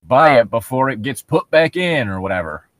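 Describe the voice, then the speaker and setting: A man's voice: mid-range voice, expressive, moderate pace, fairly bright tone, dry recording. A man co-hosting a comedic podcast, chatting casually and reading aloud with a sardonic, profane manner. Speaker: podcast co-host. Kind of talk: casual comedic chat while reading horror stories aloud.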